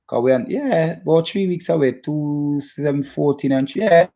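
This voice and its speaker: A man from Jamaica with a Jamaican accent, hosting a casual football fan chat in a halting, stop-start way and repeating his phrases. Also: on the high side, creaky, dark tone. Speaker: football fan channel host. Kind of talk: casual chat to live viewers about football.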